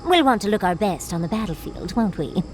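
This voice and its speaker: A woman's voice: mid-range voice, very animated, a little fast, over music, close to an everyday voice. A woman voicing a playful, vain adventurer in a video game, theatrical and teasing. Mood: playful, gushing. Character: playful, flirty, vain female adventurer companion.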